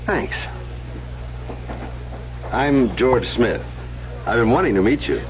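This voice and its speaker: An actor playing a friendly, eager suitor in an old-time radio drama, genial and encouraging. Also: male voice, medium pitch, lively intonation, slow pace. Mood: friendly, flirting. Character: smooth, eager, friendly male suitor at resort. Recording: dull, noisy old radio recording.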